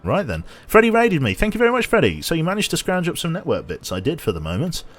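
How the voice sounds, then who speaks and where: A man streaming a game with casual commentary, a little tired, his voice slightly nasal and a bit raspy, chatty as he thinks aloud and thanks viewers. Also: a little high, wildly swooping pitch, fast talker, fairly bright tone.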